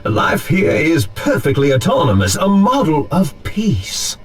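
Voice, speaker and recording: Smug grandiose ceo type in a game cutscene, real slow and theatrical, voice swings up and down a lot. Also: male voice, on the high side, huge pitch swings, breathy edge, soft background music, exaggerated voice. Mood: smug, welcoming. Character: smug, genial corporate town founder, grandiose. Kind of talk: animated game cutscene voice acting.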